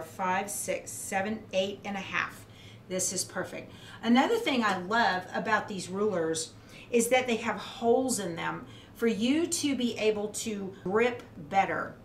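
A middle-aged woman talks through a hands-on craft demonstration in a methodical, instructional way. Her voice sits at an average pitch for a woman. The indoor studio sounds roomy, with a faint to moderate layer of background noise. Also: a bit bright. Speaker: quilting instructor. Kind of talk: hands-on demonstration, explaining step by step.

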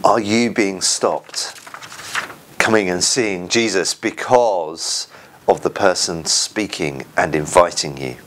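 A man in his forties with an English accent, a church minister giving an earnest, informal sermon in short, halting phrases, recorded indoors on a lapel mic. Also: deep voice, fairly bright tone, a little hiss or hum. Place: indoors, small room at home.

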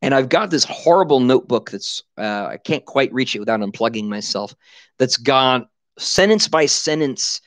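A man in his thirties answering viewer questions in a casual livestream from a small room at home, on a headset mic, animated and full of fillers and restarts. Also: mid-range voice, normal speed, no room sound. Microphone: headset boom mic.

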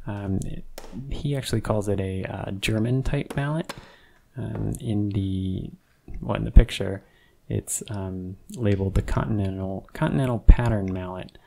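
Low gravelly man narrating his build. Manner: relaxed, unhurried, many ums and restarts. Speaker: woodworking channel host. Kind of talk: casual voice-over narration of a build. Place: home woodshop.

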